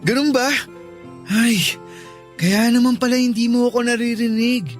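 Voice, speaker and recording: A man's voice: very high-pitched, steady tone, measured pace, soft background music. An actor playing an earnest, lovesick young college man in a Filipino radio drama, pleading and persistent, with a Filipino accent. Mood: eager, coaxing.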